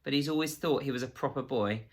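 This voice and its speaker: A man's voice: mid-range voice, fast, voice pushed up, lightly acted. A man playing a prissy, menacing government agent in a story, officious, pompous and precise. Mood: icy condescension.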